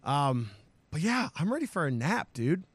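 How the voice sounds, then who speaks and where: A man hosting a gaming podcast in an upbeat, hype-building way, his voice hoarse and strained. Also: a little high, moderate pace, no echo. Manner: energetic, hypes up the audience. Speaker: podcast host.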